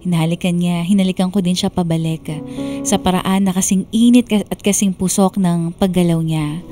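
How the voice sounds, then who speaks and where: Radio drama narrator: woman as sentimental young Filipina, mellow, slightly low, wistful, over music. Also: close to an everyday voice. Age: young adult. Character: young woman confiding her love story, sentimental. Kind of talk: scripted dramatic first-person narration.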